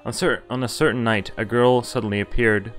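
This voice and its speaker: A man's voice: medium pitch, fairly even intonation, a little fast, a bit bright. A young man streaming a visual novel, reading its text aloud and adding casual commentary. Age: young adult. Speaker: game streamer. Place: indoor room, webcam stream. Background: game music.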